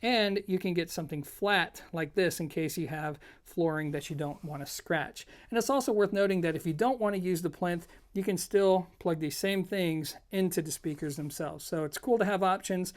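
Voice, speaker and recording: A product reviewer hosting an audio gear video. The voice sits at a middle pitch. The delivery is informal and explanatory, speaking straight to the viewers. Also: moderate pace, few pauses. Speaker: product reviewer and show host.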